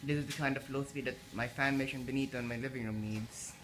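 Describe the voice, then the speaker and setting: Halting man filming a ceiling fan. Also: medium pitch, steady tone, low-quality recording. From Philippines. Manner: halting, repeats words and restarts often. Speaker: fan enthusiast vlogger. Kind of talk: casual filmed commentary. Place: indoors in a house kitchen. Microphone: handheld phone camera.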